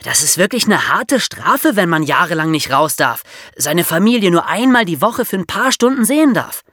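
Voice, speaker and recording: Very animated podcast co-host explaining things informally. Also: mid-range voice, normal speed, dry recording, clean studio sound.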